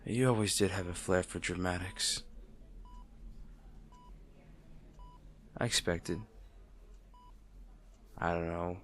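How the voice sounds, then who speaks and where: Guy doing a bitter brooding villain for an audio roleplay, really slow n hushed, dramatic pauses, bit of background noise. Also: low voice. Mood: bitter, disappointed. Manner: slow, hushed, theatrical delivery with deliberate pauses. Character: brooding male supervillain, bitterly attached to his fallen rival. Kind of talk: dramatic audio roleplay monologue, addressed to the listener.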